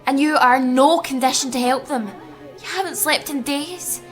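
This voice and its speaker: An actress playing a stern, bossy but protective medical colleague in an audio drama, speaking in a firm, scolding manner. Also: female voice, high-pitched, moderate pace, soft background music, natural voice. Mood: exasperated, scolding.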